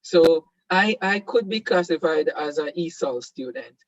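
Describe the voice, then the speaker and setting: A man's voice: on the high side, animated, a little slow, dry recording, highs cut off. A Jamaican man whose first language is Patois hosts an online seminar, talking hesitantly with many fillers and restarts. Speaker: host.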